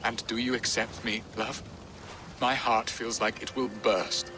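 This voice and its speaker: A man's voice: mid-range voice, flat delivery, measured pace, noisy background, lightly acted. A man playing a sentimental, genial middle-aged nobleman in a period game, speaking in a courtly, effusive way. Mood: adoring, moved. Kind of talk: dramatic period game acting.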